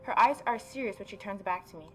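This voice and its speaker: A woman gaming YouTuber reading a story game aloud and adding expressive, mocking asides. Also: medium pitch, quick pace, slight background noise, low-quality recording. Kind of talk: let's-play reading aloud with reaction commentary.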